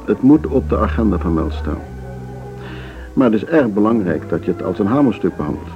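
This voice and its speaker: An urbane older man, with a creaky, lowish voice and formal, unhurried phrasing. He is genteel and quietly steering, in a radio play with music clearly behind him. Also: very animated, lo-fi sound. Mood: cautious, smooth. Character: genteel older male board member, urbane, cautious, smoothly steering. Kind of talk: Dutch radio drama dialogue.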